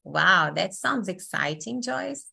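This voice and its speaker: A woman from Brazil with a Brazilian accent, hosting an online session as facilitator in a friendly, unhurried way with many fillers. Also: mid-range voice, big pitch swings, gravelly, sharp tone, husky.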